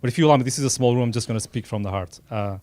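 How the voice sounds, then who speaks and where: A man's voice: medium pitch, very fast, darkish tone, no echo. A middle-aged man from Portugal with a Portuguese accent, giving an unscripted, earnest speech at a dinner in a small room, with self-deprecating asides. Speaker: event sponsor speaker. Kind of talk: informal speech at a dinner event.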